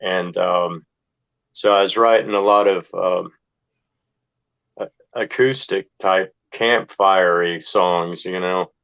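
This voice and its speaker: A man's voice: low-pitched, fairly even intonation, slow pace, pauses often, fairly bright tone, smooth, dry recording. A man chatting casually as a musician guest, laid-back and plain-spoken, on a dull, lo-fi recording. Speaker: guest, rock band musician. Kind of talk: casual chat. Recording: dull lo-fi, dry close sound.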